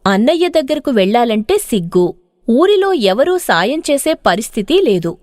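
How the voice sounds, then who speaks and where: A woman reads a scripted moral tale as storyteller. Her voice is very high with animated pitch movement. The recording is dry and close. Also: moderate pace, dry recording.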